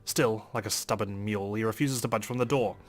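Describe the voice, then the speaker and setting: A man's voice: on the low side, fairly even intonation, a little fast. A man reading a visual novel aloud as a let's play host, in a dramatic first-person narration style. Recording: music under the voice.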